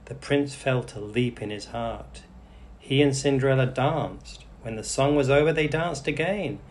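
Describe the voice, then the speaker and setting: A man reading a bedtime story aloud for English learners in an animated, deliberate manner. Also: mid-range voice. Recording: clearly noisy background.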